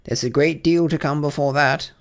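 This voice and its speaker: A man reading an audiobook voices a fussy rabbit herald, high and loud in his proclamations. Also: steady tone, moderate pace, close to an everyday voice. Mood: hasty.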